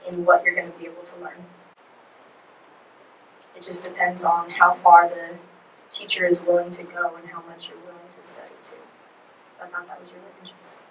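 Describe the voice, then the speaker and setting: A woman's voice: lowish voice, slight background noise. A woman leading a forum discussion as presenter, asking for comments and answering questions. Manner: conversational, leads questions. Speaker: student presenter. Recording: echoey room from far off.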